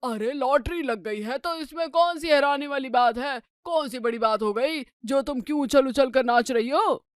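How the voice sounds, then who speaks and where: A woman acts a placid, dismissive middle-aged husband in a comedy drama. The voice is clear and high for the part, nonchalant throughout, and recorded very dry. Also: moderate pace, no echo, lightly acted. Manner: nonchalant, shrugging off everything. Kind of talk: Hindi comedy story dramatisation.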